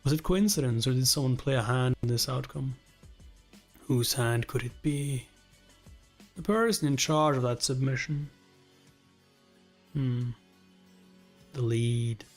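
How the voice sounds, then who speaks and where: Guy reading as thoughtful suspicious exec, measured and probing, webtoon drama, soft music behind. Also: medium pitch. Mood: musing, suspicious.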